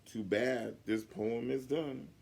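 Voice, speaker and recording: A man's voice: mid-range voice, steady tone, slow, vocal fry, darkish tone. A man voicing a young schoolboy proudly reciting a silly rhyme in singsong storybook style.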